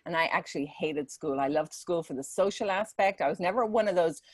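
Chatty Irish woman, low dry voice. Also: a little fast, dry recording. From Ireland. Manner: chatty, animated storyteller with many fillers. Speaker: podcast guest, salon manager. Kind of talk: casual interview storytelling.

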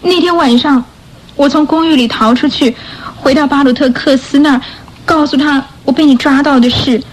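An actress plays a repentant young orphan girl in a radio drama. Her female voice is high, timid and hesitant, with an earnest, pleading manner. Faint noise sits behind her. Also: steady tone, normal speed, lightly acted, rough recording. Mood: explaining.

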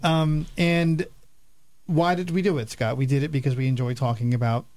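A man's voice: mid-range voice, level tone, moderate pace, darkish tone, dry recording. A man co-hosting a radio show, explaining things in a casual, conversational way. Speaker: radio show and podcast co-host.

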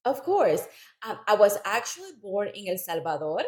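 A woman's voice: medium pitch, sing-song, normal speed, darkish tone. A woman from Costa Rica, a native Spanish speaker with a Central American accent, telling her story conversationally as a podcast guest. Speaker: podcast guest, Zumba instructor.

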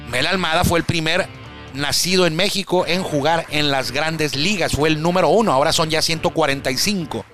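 A man's voice: fairly high pitch, brisk, fairly bright tone, faint music behind. A man hosting a baseball talk show, chatting casually and correcting himself as he rambles through statistics. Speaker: baseball stats radio host.

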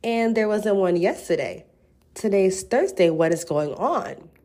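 A woman's voice: mid-range voice, animated, unhurried, dark tone. A woman in her twenties hosting a casual solo podcast, playful and slangy, sounding congested from a cold. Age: 20s. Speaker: podcast host.

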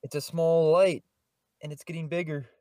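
A man co-hosting a podcast, acting out an improvised comedy skit in an excitable, jokey way. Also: on the high side, normal speed, dry recording. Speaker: podcast co-host.

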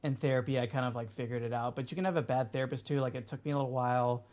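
A man's voice: medium pitch, fairly even intonation, rapid-fire, a little mellow. A man in his thirties chatting casually as a podcast guest, full of fillers and restarts. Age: 30s. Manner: rambling, many fillers and restarts. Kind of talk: casual chat.

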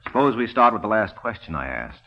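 Wry radio private eye, lively delivery. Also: male voice, fairly low pitch, fairly quick, in a large hall. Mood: probing. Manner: clipped, sardonic questioning. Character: cool, wry, hard-questioning male private detective. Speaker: lead actor in a detective drama. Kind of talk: 1940s-50s radio detective acting. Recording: muffled, noisy old radio recording.

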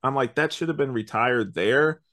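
A man's voice: mid-range voice, normal speed, fairly bright tone. A man hosting a podcast, talking through a review in a casual, rambling, conversational way. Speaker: podcast host. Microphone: online video call recording.

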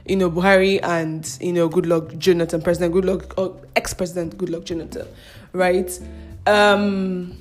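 A woman's voice: on the low side, level tone, normal speed, soft background music. A young Nigerian woman with a Nigerian accent, hosting a casual podcast and chatting through the news with frequent laughs and mocking asides. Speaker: podcast host.

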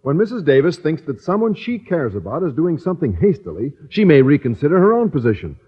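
Earnest naive guy in old radio comedy, highish husky voice, muffled audio. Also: sing-song, quick pace. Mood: eager. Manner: earnest, eager explaining. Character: earnest, naive male suitor with schemes. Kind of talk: 1950s radio sitcom acting. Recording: dull vintage radio recording.

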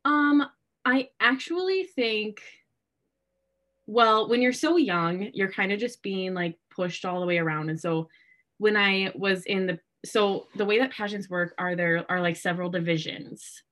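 A woman's voice: mid-range voice, unhurried, a bit bright. A woman in her early twenties with an American accent, telling personal stories in a casual, chatty way with frequent "like.". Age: early 20s. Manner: chatty, heavy use of "like". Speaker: interview guest. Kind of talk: casual chat, personal storytelling.

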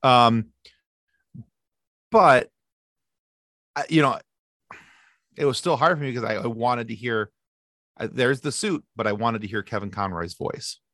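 Man, thirties, casual podcast guest, sarcastic, animated, dry recording. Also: mid-range voice, a little slow, pauses often, no room sound. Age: 30s. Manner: sarcastic, animated, with frequent restarts. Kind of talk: casual chat.

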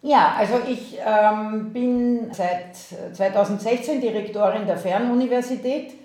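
An Austrian woman in her later career speaks as an interview guest over a video call. She is thoughtful and unhurried, with lots of "äh" and self-corrections. Her voice carries a roomy, echoing sound from the remote line. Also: a little high, a little mellow. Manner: thoughtful, many hesitations and fillers. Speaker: university president, guest.

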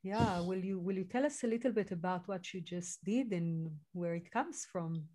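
A woman hosting an interview, talking in a relaxed, hesitant way with restarts. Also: lowish voice, normal speed. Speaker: interviewer, healer. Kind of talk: casual interview talk.

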